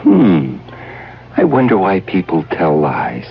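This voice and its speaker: A muffled radio recording carries a woman with a very deep voice. She asks gentle, curious questions with lively intonation, in the style of a scripted public service spot. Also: slow. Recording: muffled, faintly noisy old radio recording.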